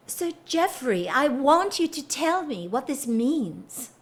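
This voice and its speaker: A woman's voice: sits high, sing-song, slow, full character voice. An actress playing a reserved, married English society lady in a period audio drama, earnest, formal and restrained. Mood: earnest, questioning.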